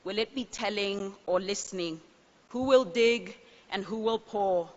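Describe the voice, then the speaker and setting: A woman from Botswana performing a spoken word poem in a slow, measured recital. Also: medium pitch, darkish tone, long reverb tail, highs cut off. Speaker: poet.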